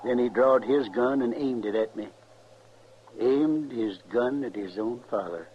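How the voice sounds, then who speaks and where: A worn, grieving older father from the ranch played by a male actor, speaking halting, folksy rural western American in a medium-pitched voice. It is old western radio drama, dull and noisy. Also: lightly acted. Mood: anguished. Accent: rural American West. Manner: folksy, halting, heavy-hearted.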